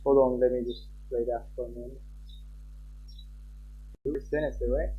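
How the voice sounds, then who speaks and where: A man's voice: mid-range voice, steady tone, normal speed, slightly rough, phone-quality sound. A man streaming live, chatting casually with viewers and talking to himself as he fiddles with his stream settings.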